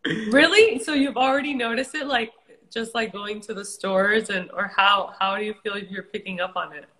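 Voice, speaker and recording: A woman's voice: mid-range voice, normal speed, sharp tone, low-quality recording. Woman from tijuana, spanish first language, easygoing live chat, says like a lot. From Tijuana, Mexico. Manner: chatty, lots of "like" fillers, laughs easily. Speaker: fitness and wellness creator, guest. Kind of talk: casual live-stream chat.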